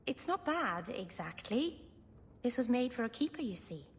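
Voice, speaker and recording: A woman's voice: a little high, very animated, normal speed, slight background noise. An actress playing an earnest female elf storyteller telling myths in a fantasy game. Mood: gently explaining. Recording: long reverb, clear background ambience.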